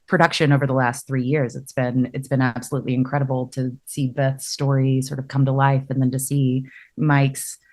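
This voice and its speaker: A woman's voice: very deep voice, flat delivery, moderate pace. A woman podcast host talking conversationally as she explains and puts questions to a guest. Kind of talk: conversational interview talk.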